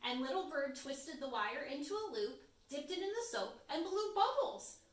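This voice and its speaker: A woman's voice: high-pitched, very animated, normal speed. A woman reading a children's storybook aloud expressively, as a story-time reader. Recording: roomy echo, distant microphone.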